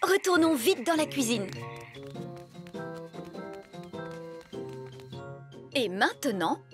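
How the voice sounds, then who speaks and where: A woman voicing a brisk, self-assured and scatterbrained fairy nanny in a French cartoon dub. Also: sits high, big pitch swings. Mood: incanting. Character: bossy, scatterbrained fairy nanny who casts spells. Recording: music bed behind the voice.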